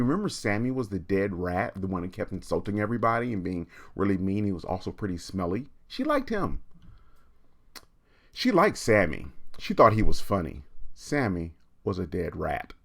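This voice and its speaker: Very deep-voiced man hosting storytime. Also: huge pitch swings, moderate pace, gravelly. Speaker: reader hosting a livestreamed storytime. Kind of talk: chatty livestream talk and expressive read-aloud of a children's book.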